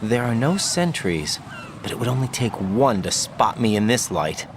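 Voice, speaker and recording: An actor playing a young rookie soldier in a video game, intense, earnest and sceptical, with a terse, questioning way of talking. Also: male voice, medium pitch, sing-song, normal speed, noisy background, lightly acted. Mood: alert, questioning.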